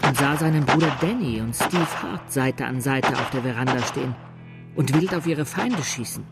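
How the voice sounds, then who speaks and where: A grave male chronicler voiced by an actor narrates a radio drama. He speaks dramatically in a middle-pitched voice. Music runs clearly underneath. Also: normal speed, close to an everyday voice. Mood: grave, dramatic. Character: grave male chronicler of an outlaw's last battle. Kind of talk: scripted third-person radio drama narration.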